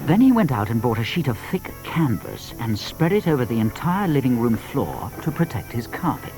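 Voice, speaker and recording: A man with a British accent narrating a scripted children's story in an expressive storytelling manner. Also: mid-range voice, moderate pace, music in the background, rough recording.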